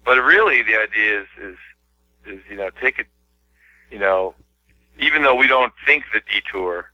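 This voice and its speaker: A man from the United States, a poet guest in a casual interview, talking in a reflective, hesitant way with slow pauses. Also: on the low side, lively intonation, bright, forceful tone, no room sound. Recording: dry, dull lo-fi radio audio.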